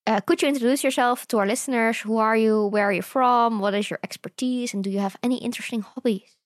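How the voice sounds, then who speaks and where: A woman co-hosting a science podcast, reading the intro and asking the guest clear, welcoming questions. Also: a little high, fairly even intonation, fast, no echo. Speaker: podcast co-host. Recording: dry close recording, faint music.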